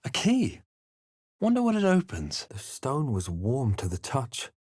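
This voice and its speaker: A man voicing a brooding adventurer hero in a game, narrating his perils in a measured, grave manner. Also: mid-range voice, huge pitch swings, darkish tone, breathy edge, no echo, studio quality, exaggerated voice. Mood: wary, muttering. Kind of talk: dramatic game narration and short character remarks.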